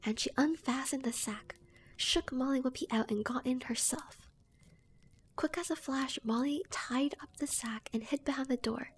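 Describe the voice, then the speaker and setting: A young woman streamer whispering a folk tale softly, giggling between asides to her chat. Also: high voice, normal speed, slightly rough. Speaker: streamer and storyteller. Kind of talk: ASMR story reading.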